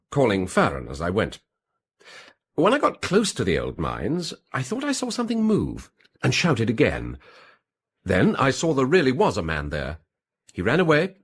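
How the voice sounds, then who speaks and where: An actor playing an evasive, defensive British gentleman suspect in a radio detective drama, hesitant and drily understated. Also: on the low side, slightly rough, heavily acted.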